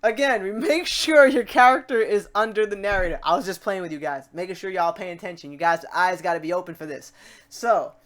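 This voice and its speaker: A woman hosting a casual software tutorial, talking through each step aloud in an informal, playful way. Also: on the low side, a little fast. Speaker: tutorial host.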